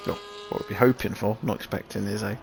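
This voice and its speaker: A man calls a sim race in a low, gravelly voice gone croaky after a sneeze. He thinks aloud, restarting his sentences as the action unfolds. Engine noise and hiss sit under his mic. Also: fairly even intonation, quick pace. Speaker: race commentator. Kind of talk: sim racing commentary.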